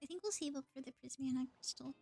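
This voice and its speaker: Woman, very high voice, casual video game commentary, short thinking-aloud bursts, background music. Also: fast talker, creaky, darkish tone. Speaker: livestreamer playing a video game. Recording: music behind the voice.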